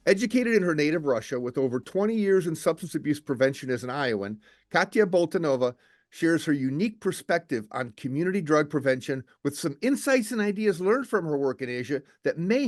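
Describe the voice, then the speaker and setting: A man hosting an interview show, reading a measured, formal introduction and asking questions. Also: a little high, dry recording, clean studio sound. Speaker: podcast host.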